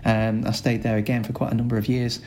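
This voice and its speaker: A man's voice: mid-range voice, flat delivery, a little mellow, a little hiss or hum. Rambling man with a Lancashire accent. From Lancashire, England. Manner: chatty and rambling, many filler pauses. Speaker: school director of sport, guest. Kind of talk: casual podcast interview answers.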